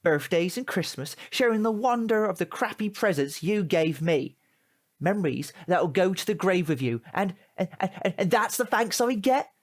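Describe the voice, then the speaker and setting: A British man playing a cheeky, aggrieved teenage boy in a comic audio drama, indignant, slangy and wheedling. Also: sits high. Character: cheeky, aggrieved, foul-mouthed male teenage tearaway.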